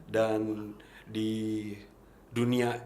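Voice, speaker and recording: A man in his late twenties from Manado, a podcast guest telling his story in an earnest, reflective way with pauses. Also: lowish voice, completely flat delivery, mellow, roomy sound, slight background noise. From Manado, Indonesia. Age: 20s. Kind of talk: casual testimony.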